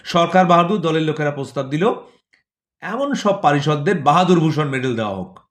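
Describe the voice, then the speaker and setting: A man's voice: fairly high pitch, animated, brisk. A man reading a scripted satirical story, phrasing it rhetorically with repetitions and lists of words set apart. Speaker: narrator of an audio play.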